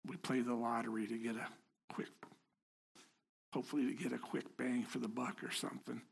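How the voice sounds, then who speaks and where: A man with a slightly husky voice gives a relaxed sermon to his congregation. He keeps it conversational and self-deprecating, teasing the people in front of him as he goes. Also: medium pitch, fairly quick. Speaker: lay preacher. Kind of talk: informal sermon. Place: house of worship before a congregation.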